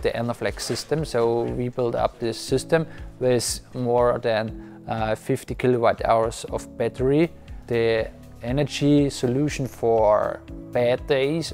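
A man talks through an installation in halting English, full of fillers and restarts. He speaks into a lapel mic in an equipment room, and faint music plays underneath. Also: medium pitch, darkish tone. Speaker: electrical installer. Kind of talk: interview.

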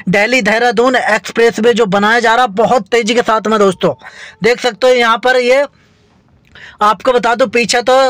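High-voiced chatty Indian man vlogging. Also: a bit bright, dry recording. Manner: chatty, repetitive, addresses viewers as friends. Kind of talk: narrating a construction tour from a moving vehicle.

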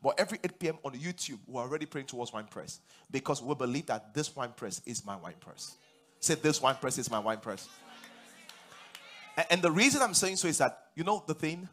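Husky Nigerian pastor preaching from a stage. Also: male voice, mid-range voice, a little fast. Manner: animated, rising to loud emphatic declarations. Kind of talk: sermon. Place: large church stage.

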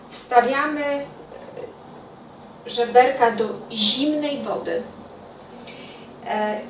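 Echoey noisy kitchen, middle-aged woman, cooking tutorial, explanatory, pausing mid-sentence. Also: a little high. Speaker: home cooking show host. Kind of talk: informal cooking tutorial to camera. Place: small home kitchen by a window, indoors. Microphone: camera on counter a step away. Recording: distant mic, echoey room, loud kitchen noise.

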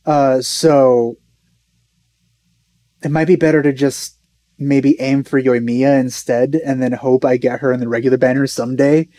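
Mellow man, dry sound. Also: medium pitch, level tone, measured pace, no echo. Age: young adult. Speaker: reaction video host. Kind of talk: casual reaction commentary.

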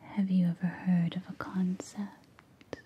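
A woman in her thirties playing a mysterious, seductive dream witch in an ASMR roleplay, soothing, breathy and close to the microphone. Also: on the low side, level tone, muffled recording, full character voice. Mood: quietly sinister. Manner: slow, soothing, breathy, intimate. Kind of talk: ASMR roleplay, soft whispering. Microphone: close-up ear-to-ear microphone.

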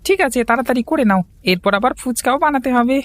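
A woman's voice: sits high, fast talker, no echo, natural voice. A woman voicing a fussy, caring older brother, a fretful street seller in a cartoon. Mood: impatient. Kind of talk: cartoon moral-story dialogue.

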